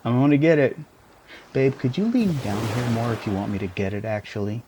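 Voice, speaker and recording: Man acting as a playful tiny boyfriend, doting, teasing, very animated pitch, unhurried, intimate ASMR roleplay, faint background noise. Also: lightly acted. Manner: playful, teasing, affectionate, theatrical exclamations.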